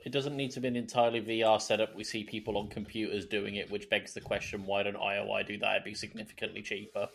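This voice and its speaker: A man's voice: fairly low pitch, level tone, fast talker, vocal fry, hardly any pauses, a bit bright. A man co-hosting a podcast, casually questioning and nitpicking in a teasing way. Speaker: podcast co-host. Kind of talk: casual chat, jokey questioning.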